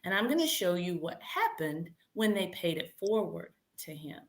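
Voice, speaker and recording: A woman's voice: fairly low pitch, sing-song, normal speed. A woman hosting a children's read-aloud camp, presenting in simple words and prompting listeners with questions.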